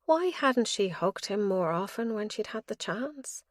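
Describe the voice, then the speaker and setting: A woman's voice: medium pitch, very animated, unhurried, no room sound, clean studio sound. A woman reading scripted narration as the narrator of a mystery audiobook.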